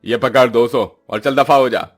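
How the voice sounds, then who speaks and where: A man voicing a rude, lazy rich village landlord in a cartoon, gruff and haughty as he scolds. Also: mid-range voice, a little slow, faint music behind, close to an everyday voice. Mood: dismissive. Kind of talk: Hindi moral-story cartoon voice acting.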